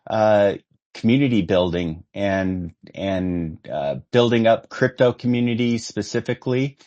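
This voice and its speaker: A man with a low voice hosting a casual podcast interview. He speaks in a laid-back way. He fills pauses with plenty of "uh"s as he works his way toward each question. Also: darkish tone, lo-fi sound. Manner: laid-back, many uh fillers, drawn-out questions. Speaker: podcast host.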